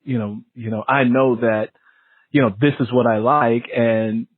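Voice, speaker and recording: Man, interview host, husky, casual banter, playful repetition, close dry sound, muffled lo-fi recording. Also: mid-range voice, steady tone, a little slow, no room sound. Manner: joking, interjects and repeats phrases.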